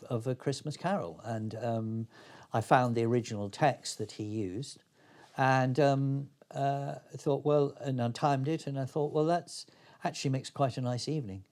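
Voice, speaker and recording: A man's voice: medium pitch, unhurried, a little mellow. An older man speaking reflectively as an interview guest, hesitant and thoughtful but enthusiastic. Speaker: interview guest, playwright and actor.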